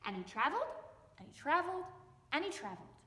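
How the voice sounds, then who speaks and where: High-pitched, animated woman storyteller in echoey room. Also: fast, slight background noise. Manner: theatrical, stretches words for suspense. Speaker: library storytime host and storyteller. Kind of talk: expressive children's story read-aloud.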